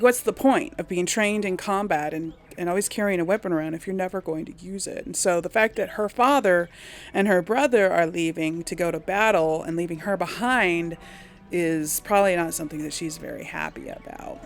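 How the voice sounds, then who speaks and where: A woman hosting a game playthrough, thinking aloud in casual, informal commentary with a creaky voice. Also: fairly low pitch, fairly bright tone. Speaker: gamer host of a role-play series.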